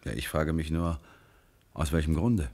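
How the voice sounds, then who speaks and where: Casting: calm, probing detective for radio play, very low husky voice, measured questioning. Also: male voice, heavily acted. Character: calm, probing male police commissioner from Paris. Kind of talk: German radio crime drama acting.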